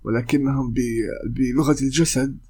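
A young man from Palestine, a voice actor, hosting a solo podcast in careful formal speech, pausing often with fillers and restarts. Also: medium pitch, steady tone, mellow, slightly rough. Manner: hesitant, many "uh" fillers and restarts. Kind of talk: solo podcast talk in Modern Standard Arabic.

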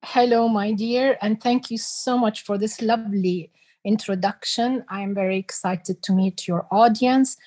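A woman in her sixties from Iraq, giving slow, measured answers as an interview guest. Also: mid-range voice, fairly even intonation, darkish tone. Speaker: guest, heritage expert.

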